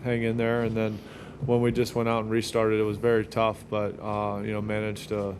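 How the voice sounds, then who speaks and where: A man's voice: monotone, normal speed. A man speaking as a golfer in an informal interview after his round. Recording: noisy clip with low rumble.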